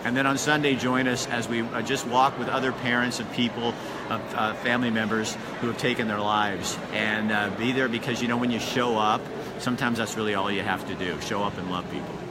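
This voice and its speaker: A man's voice: mid-range voice, fairly even intonation, a little fast. Earnest man livestreaming from busy street. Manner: earnest, preachy, chatty. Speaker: livestream host. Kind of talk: casual live interview and appeal. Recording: loud outdoor traffic noise.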